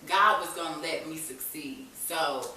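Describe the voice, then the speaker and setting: A woman's voice: deep voice, a little slow, bright, forceful tone. An American woman telling her personal story candidly as a guest, in earnest, colloquial, emphatic talk where she repeats herself. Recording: echoey room, distant mic, faint hiss.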